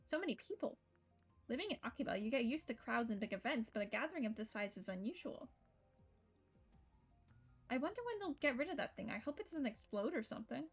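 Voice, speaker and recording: A woman with a fairly high voice reads story narration aloud as she streams a game. Music plays under her reading. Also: sing-song, fairly quick, long pauses.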